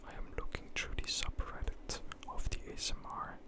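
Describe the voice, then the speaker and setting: A young man, an ASMR creator, rambling and crude in a whisper at home. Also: moderate pace.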